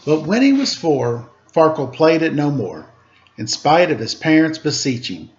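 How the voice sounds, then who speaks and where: A man reading a rhyming children's picture book aloud in an expressive, playful, sing-song storytelling style. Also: a little high, a little slow, a little hiss or hum. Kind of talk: animated read-aloud storytelling with rhyming verse. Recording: slightly dull, lo-fi home recording.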